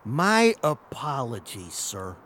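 An actor playing a polite, deferential courier serving a vampire prince, formal and nervous in an audio drama. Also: male voice, mid-range voice, huge pitch swings, slow, a bit bright, heavily acted. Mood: nervously polite.

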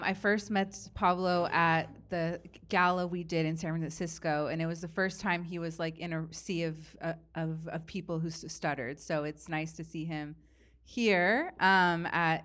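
A woman hosting a live event and introducing speakers, speaking in a halting way with fillers and restarts. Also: lowish voice, steady tone, fairly bright tone. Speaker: event host.

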